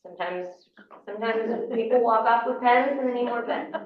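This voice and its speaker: A woman with a hoarse voice from a cold, explaining her work to a class in a conversational way with plenty of ums. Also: on the high side, normal speed. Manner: conversational, explanatory, with frequent fillers. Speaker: county election official giving a class. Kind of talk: informal lecture. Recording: echoey room, mic far off, dull sound.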